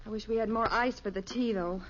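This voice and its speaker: Harried, polite middle-aged US mother woman actor, vintage radio sitcom, slightly high voice, faint hiss. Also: fairly even intonation, a little fast, natural voice. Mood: fretful. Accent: American. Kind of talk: 1950s radio sitcom acting. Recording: muffled, hissy old radio recording.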